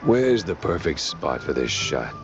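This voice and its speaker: A man's voice: a bass voice, very animated, unhurried, exaggerated voice. A man voicing a world-weary, wisecracking mouse private detective in a noir cartoon game, dry and laconic. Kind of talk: 1930s noir cartoon acting. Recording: muffled, with loud guitar music behind.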